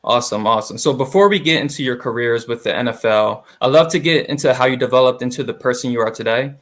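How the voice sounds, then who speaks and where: Young man, interviewer, medium pitch, friendly, casual fillers. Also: fast talker, a bit bright. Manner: friendly, uses fillers like "awesome" and "like". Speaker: interviewer, associate producer. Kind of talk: interview hosting, partly scripted intro.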